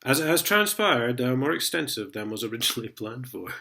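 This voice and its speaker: A man's voice: medium pitch, very animated, normal speed, creaky, sharp tone. A man with a sniffly head cold, chatting in a sarcastic vlog and chuckling as he reads letters aloud. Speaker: vlogger. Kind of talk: casual ranting vlog.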